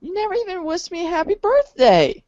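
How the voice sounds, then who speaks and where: A man voicing a boy hero as a gravelly masked vigilante, in a campy comic storybook parody. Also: very high voice, huge pitch swings, a little fast, darkish tone, no echo, lo-fi sound, full character voice. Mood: awkward, earnest. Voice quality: gravelly, husky. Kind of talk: comic read-along storybook impression.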